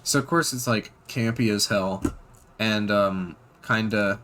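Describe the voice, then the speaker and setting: A man giving casual reaction commentary, halting with ums and restarts, blunt and profane. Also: fairly low pitch, a bit bright, a little hiss or hum. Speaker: reaction channel host.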